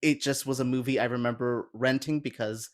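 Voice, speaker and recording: Man, talk show guest, casual chat, mid-range pitch, chatty, self-deprecating, fannish. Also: steady tone, brisk. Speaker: guest on a film discussion show.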